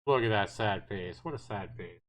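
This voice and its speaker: A man's voice: fairly low pitch, lively intonation, normal speed. A man reading visual novel narration aloud on a livestream, telling it dramatically with the occasional stumble. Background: guitar music.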